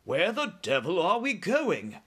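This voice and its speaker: High, frantic, blustering air force chief. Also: male voice, animated, unhurried, heavily acted. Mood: exasperated. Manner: exasperated, spluttering, forceful.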